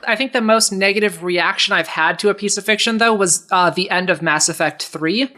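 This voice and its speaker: A woman with a medium-pitched voice talks casually as a podcast co-host. She retells things in an animated, conversational way, slipping into quoted lines as she explains. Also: fairly quick. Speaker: podcast co-host reading listener questions. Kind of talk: casual unscripted podcast discussion.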